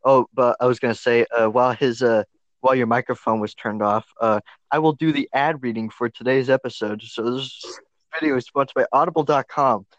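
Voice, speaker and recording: Male podcast co-host, goofy and crude, deadpan fake sponsor reads, dry muffled mic. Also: mid-range voice, a little fast, no room sound. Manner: joking, crude, mock ad reads. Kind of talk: casual chat. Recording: dry, dull lo-fi audio.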